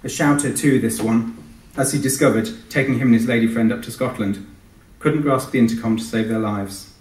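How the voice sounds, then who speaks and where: A man's voice: medium pitch, a little fast, a little mellow. British guy doing an author reading, medium voice, roomy and far from the mic. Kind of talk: live literary reading. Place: live event in a hall. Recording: echoey hall, distant microphone.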